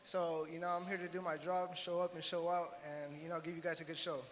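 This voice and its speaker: A man, a boxer, answering casually and softly at a press conference. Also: fairly high pitch, level tone, brisk. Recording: distant, reverberant hall with faint noise.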